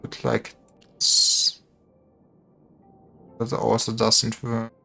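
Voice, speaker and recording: Creaky-voiced streamer with a middling pitch. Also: completely flat delivery, extremely slow, sharp tone, soft background music. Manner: thinking aloud, self-interrupting. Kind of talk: casual game livestream commentary.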